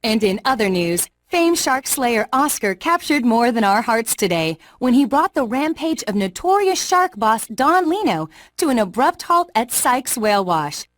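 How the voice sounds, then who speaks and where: A woman playing a polished, chirpy TV news anchor fish in an animated video game. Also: on the high side, normal speed, hardly any pauses, dry recording, studio quality. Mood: brisk, polished. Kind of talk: newsreader parody in a video game.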